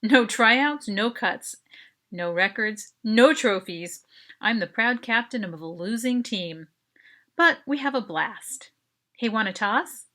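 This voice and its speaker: A woman's voice: fairly high pitch, animated, takes it slowly, sharp tone, heavily acted. A woman voicing a friendly, confident teenage boy in a short story, playful and easygoing. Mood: playful, enthusiastic. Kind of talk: dramatic short story reading.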